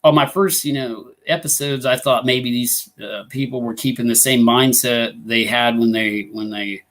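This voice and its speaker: A man's voice: medium pitch, steady tone, normal speed, a bit bright. A man from Illinois hosting a live talk show, chatting casually and rambling with many fillers and restarts. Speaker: ghost hunting group host.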